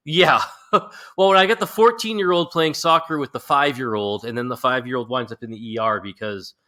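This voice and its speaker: Bright-voiced man hosting a podcast. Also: medium pitch, moderate pace. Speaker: podcast host interviewing a guest. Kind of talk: casual podcast chat.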